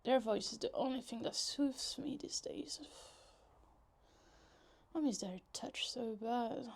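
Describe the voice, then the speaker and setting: A woman's voice: a little high, takes it slowly, vocal fry, heavily acted. A woman playing a possessive, lovesick yandere young woman in a roleplay ASMR, teasing, pouty and dramatic in casual, conversational speech. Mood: pining, obsessive.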